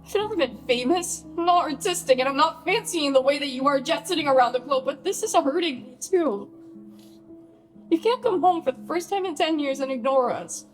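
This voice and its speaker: A woman's voice: high voice, lively intonation, fairly quick, faint music behind. An actress playing a hurt, furious bride confronting her famous sister in a naturalistic radio play, scolding and pleading with emphatic profanity.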